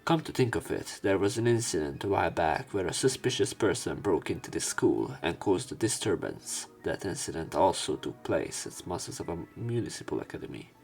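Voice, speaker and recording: A man's voice: sits low, sing-song, a little fast, vocal fry, few pauses, darkish tone, rough recording. A man reading a visual novel aloud for a let's play, breaking in with casual, sardonic commentary. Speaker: let's player.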